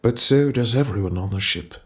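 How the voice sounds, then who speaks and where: A man playing a formal, courteous circus leader in an audio drama, speaking in a measured and polite but firm way. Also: lowish voice, fairly bright tone, lightly acted. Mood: grave, formal. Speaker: voice actor. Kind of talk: theatrical audio drama acting.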